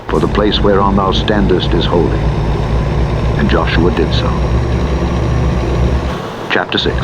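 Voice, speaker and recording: Low-voiced man reading scripture over loud engine noise. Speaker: Bible narrator. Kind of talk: solemn scripture reading, audiobook narration. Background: truck driving simulator engine and road noise.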